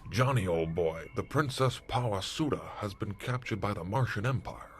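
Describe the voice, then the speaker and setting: A man playing a blunt, callous military commander with a husky voice and clipped manner in a retro sci-fi radio drama. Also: on the low side, normal speed, lightly acted. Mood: urgent. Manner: clipped, military, matter-of-fact. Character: blunt, callous male space-force commander.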